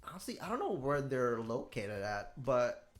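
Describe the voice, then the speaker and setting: A man from the Philippines chatting casually as a podcast co-host, excitable and often repeating his words. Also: medium pitch, moderate pace, soft background music. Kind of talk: casual chat.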